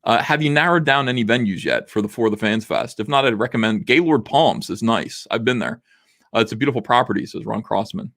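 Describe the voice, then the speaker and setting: A man in his forties hosting a casual livestream, reading viewer messages aloud, rambling with lots of fillers while his voice is going rough. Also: medium pitch, lively intonation, a little fast, a bit bright. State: losing his voice. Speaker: livestream host. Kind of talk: casual livestream chat reading super chats.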